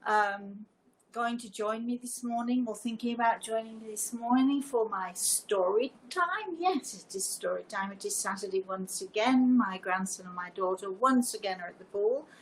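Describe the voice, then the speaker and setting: An older woman hosting a casual livestream, chatting to viewers in a deliberate way with emphatic repetition. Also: mid-range voice, low-quality recording. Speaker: live show host and storyteller. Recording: noticeable background noise.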